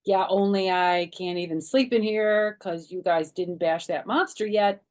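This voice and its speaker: A woman voicing an excitable, stubborn little girl from a storybook, speaking in childish grammar with emphatic insistence. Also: medium pitch, measured pace, close to an everyday voice. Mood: worried.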